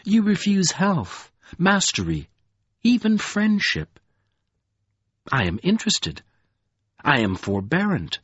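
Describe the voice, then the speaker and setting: Brief: contemptuous ancient villain lord, husky and unhurried, low dry read, voiced by a male narrator. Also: huge pitch swings, a bit bright, dry recording, lo-fi sound, heavily acted. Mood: menacing. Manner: slow, deep, sneering delivery. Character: scornful ancient male dark lord, mocking. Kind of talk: audiobook character voice.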